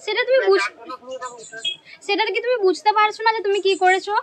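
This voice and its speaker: A woman's voice: extremely high pitch, steady tone, a little fast, a crowd in the background. A young woman, talking casually as a prank-calling vlogger. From West Bengal, India. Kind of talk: casual prank phone call.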